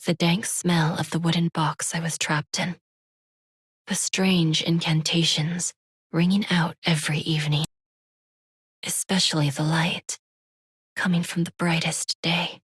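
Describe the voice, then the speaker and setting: An actress voicing a grown half-demon woman who solemnly narrates memories of her childhood in a hushed, breathy, wistful way. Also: on the low side, little pitch movement, measured pace, no echo, exaggerated voice. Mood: haunted. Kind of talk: scripted dramatic narration. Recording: music behind the voice.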